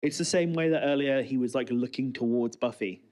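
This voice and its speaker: A man's voice: mid-range voice, a little fast, darkish tone. A man co-hosting a podcast, talking through his observations in a casual, conversational, explanatory way. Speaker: co-host of a TV commentary podcast.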